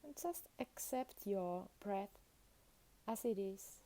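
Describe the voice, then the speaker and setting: A woman leading a guided meditation as a teacher, speaking soothingly in short phrases with long pauses between them. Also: medium pitch, animated, warm tone.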